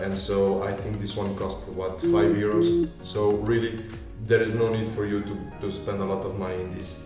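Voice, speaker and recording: A deep-voiced man casually walking viewers through gear as a video creator, with non-native English phrasing. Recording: echoey room, far from mic, music behind. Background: music.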